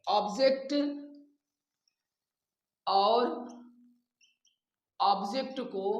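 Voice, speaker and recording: A man teaching a grammar lesson, explaining rules deliberately and repeating his phrases. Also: very high voice. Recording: echoey room sound.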